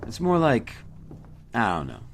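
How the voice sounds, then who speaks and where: A man playing a cautious, uneasy captain in a sci-fi audio drama, speaking in a halting, guarded way. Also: mid-range voice, wildly swooping pitch, creaky, a little hiss or hum, heavily acted. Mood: uneasy, reluctant. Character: uneasy, cautious military captain under orders.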